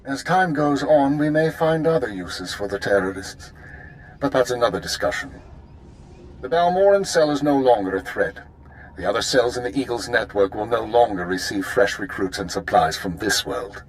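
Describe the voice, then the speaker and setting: A man's voice: medium pitch, animated, normal speed, close to an everyday voice, rough recording. A man playing a terse, businesslike intelligence superior in a video game, speaking formally and with controlled authority. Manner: formal, commanding, clipped.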